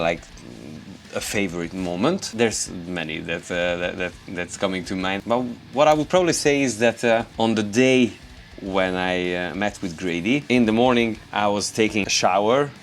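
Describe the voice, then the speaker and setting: A Hungarian man with a Hungarian accent, a guitarist hosting a casual question-and-answer video, relaxed and rambling with many fillers. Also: sits low. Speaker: guitarist and YouTube host. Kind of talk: casual Q&A to camera.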